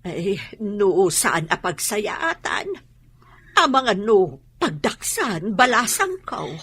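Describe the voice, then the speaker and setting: A woman's voice: medium pitch, sing-song, slow, a bit bright, slightly rough, no room sound, heavily acted. A woman with an Ilocano accent playing a worried, motherly older woman sheltering from war in a radio drama. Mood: gently probing. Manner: fretting, exclamatory.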